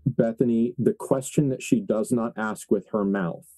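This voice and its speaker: Male game master for horror tabletop narration; very mellow, darkened tone, dry close sound, conversational asides. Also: mid-range voice, moderate pace, no room sound.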